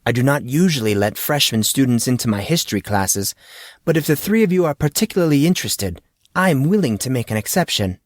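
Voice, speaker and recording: A man's voice: medium pitch, lively intonation, a little fast, dry recording, studio quality, close to an everyday voice. A man voicing a formal, genial history teacher who talks in a precise, scholarly and wordy way. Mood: pleased, formal.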